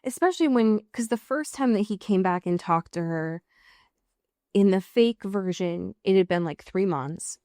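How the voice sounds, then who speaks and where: A woman's voice: mid-range voice, normal speed, dark tone, no echo, studio quality. A woman podcast co-host chatting casually as she recaps a show, acting out bits of dialogue and swearing freely.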